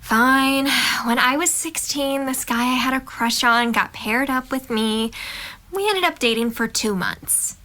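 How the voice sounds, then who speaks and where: A woman's voice: high voice, a little slow, sharp tone. A woman acting an outgoing, curious young college student in an audio drama, playful, breezy and direct. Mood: flustered, defensive. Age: young adult.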